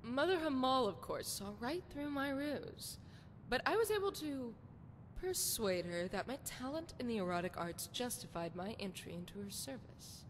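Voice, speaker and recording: A woman's voice: expressive, normal speed, lightly acted. A woman playing a seductive, flirtatious priestess of a love goddess in a video game, with sultry, poetic, theatrical phrasing. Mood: wry, self-assured. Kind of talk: scripted video game character dialogue. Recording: long hall reverb, noisy rumbling background.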